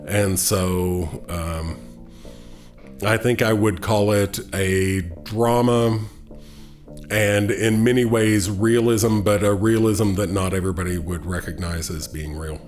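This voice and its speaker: Slow, low-voiced thoughtful man. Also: fairly even intonation, a little mellow, faint music behind. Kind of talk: reflective interview talk.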